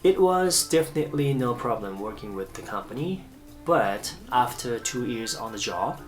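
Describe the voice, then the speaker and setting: A man in his thirties from Japan, an English coach narrating his own vlog in careful, measured English. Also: medium pitch, expressive, soft background music.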